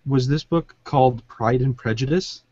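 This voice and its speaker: A man's voice: medium pitch, moderate pace, warm tone, no echo. A man hosting a casual podcast, bantering and teasing in a joking manner. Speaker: podcast host. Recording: muffled, dull lo-fi audio.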